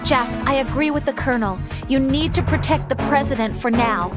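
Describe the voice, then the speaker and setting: Woman acting a high-voiced young mission analyst. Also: a little fast, loud music behind the voice, lightly acted. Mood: supportive, firm. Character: young female mission analyst, concerned yet firm. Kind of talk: video game cutscene acting.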